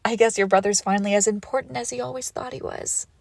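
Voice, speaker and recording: A woman playing a suave, controlled villainous ex-lover in an intimate audio roleplay, with measured formal phrasing and soft breathy pauses. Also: medium pitch, steady tone, no room sound, clean studio sound. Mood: dryly amused. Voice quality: creaky, often dropping into whisper. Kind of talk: scripted romantic audio roleplay, intimate and slow.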